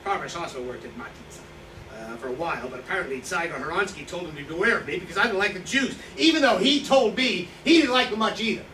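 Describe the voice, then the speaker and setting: A man in a staged reading playing a boastful, defensive, hard-drinking old writer, rambling and halting as he justifies himself. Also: sits high, animated. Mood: nostalgic, animated. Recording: distant echoey room, noisy draft recording.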